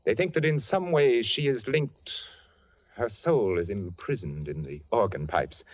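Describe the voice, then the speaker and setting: A man's voice: fairly low pitch, unhurried, natural voice. An actor playing an eccentric rich widowed father in a 1940s radio drama, genteel and nervously insistent. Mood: uneasy. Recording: dull lo-fi 1940s radio broadcast.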